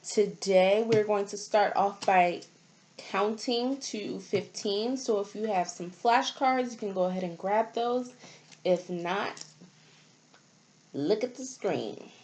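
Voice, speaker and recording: A woman speaking as a preschool teacher in simple, deliberate phrases, leading a storytime lesson for young children. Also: mid-range voice, darkish tone, slight background noise.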